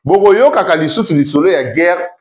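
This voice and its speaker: A man's voice: sits high, lively intonation, a little fast, a little mellow. A man with a Congolese accent hosting an online commentary show, speaking in a declamatory way with emphatic repetition. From Democratic Republic of the Congo. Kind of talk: animated political commentary to camera, mixing French and Lingala.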